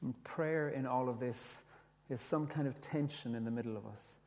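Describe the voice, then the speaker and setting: A man with an Irish accent, whose first language is Irish, gently telling a reflective story with restarts and reading his own poetry. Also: medium pitch, moderate pace, big-room sound. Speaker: speaker, poet.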